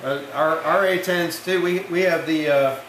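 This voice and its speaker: A man's voice: a little high, fairly even intonation, moderate pace, fairly bright tone. American man in an echoey, noisy room. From Rochester, New York, United States. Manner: plain-spoken, explanatory, frequent you-knows. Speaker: military aircraft maintainer, demo team chief. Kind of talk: informal interview answers. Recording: echoey room with clear background noise.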